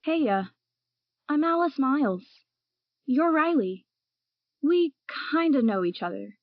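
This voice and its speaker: A woman's voice: sits high, expressive, slow, no room sound, lo-fi sound, full character voice. A woman playing a mysterious girl who texts in a casual, offhand way. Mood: breezy.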